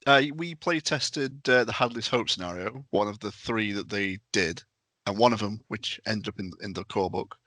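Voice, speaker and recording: A man's voice: fairly low pitch, moderate pace, sharp tone, no room sound. A man talking casually in a podcast discussion.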